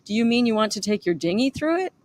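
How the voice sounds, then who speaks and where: A woman's voice: a little high, very animated, quick pace, darkish tone, voice pushed up. Woman as elderly lady sailor, kindly, serious, helpful, memoir storytelling. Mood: earnest.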